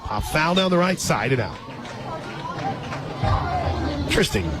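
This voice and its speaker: A man doing live radio play-by-play of a softball game, mixing calls of the action with casual, conversational chat. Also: fairly high pitch, very animated, unhurried. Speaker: radio play-by-play sports announcer. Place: outdoor ballpark radio booth. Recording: loud crowd and music behind broadcast. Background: loud stadium crowd and music.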